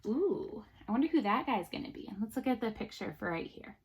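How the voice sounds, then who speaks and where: A woman teacher reading a storybook aloud to children, pausing to explain things simply and ask questions. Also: a little high, lively intonation, husky.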